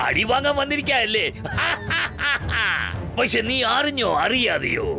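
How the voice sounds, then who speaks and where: A man's voice: high voice, gravelly, full character voice. A man voicing a vengeful, boastful kung fu master villain in a children's cartoon, taunting and theatrical. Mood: mocking. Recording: background music under the voice.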